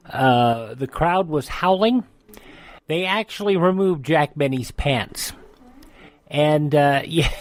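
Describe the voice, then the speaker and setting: A man's voice: high voice, no echo. An American man presenting a radio show in a conversational way on a close studio microphone.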